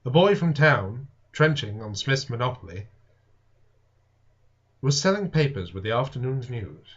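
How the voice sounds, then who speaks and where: Lo-fi muffled sound, male narrator, mid-pitched voice, theatrical storytelling, deliberate emphasis, literary audiobook reading. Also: big pitch swings, husky. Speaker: audiobook reader and show host. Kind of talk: dramatic scripted narration of a classic novel.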